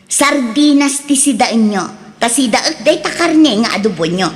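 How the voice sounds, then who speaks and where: A woman's voice: fairly high pitch, animated, unhurried. A woman playing a bossy, scolding housewife with a curt, nagging manner in an audio drama. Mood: irritated, bossy. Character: bossy, scolding young housewife with a gambling habit. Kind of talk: radio drama acting in Ilocano. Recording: long reverb on the voices.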